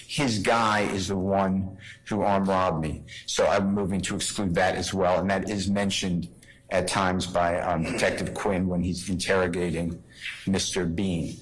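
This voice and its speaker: Man, defense attorney, low voice, halting explanatory delivery, legal argument in a courtroom. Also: fairly bright tone, low-quality recording.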